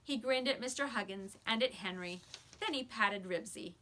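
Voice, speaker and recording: A woman reading a children's book aloud with expressive storybook narration, like a teacher to a class. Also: on the high side, normal speed, rough recording.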